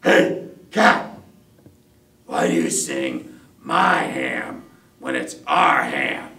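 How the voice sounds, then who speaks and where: A man voicing a big, emotional dog in a children's tale, loud, emphatic and drawn out. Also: slow, gravelly, full character voice. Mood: frustrated. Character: big Rottweiler dog, eager, then frustrated and tearful. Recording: roomy, distant mic, faint rumbling noise.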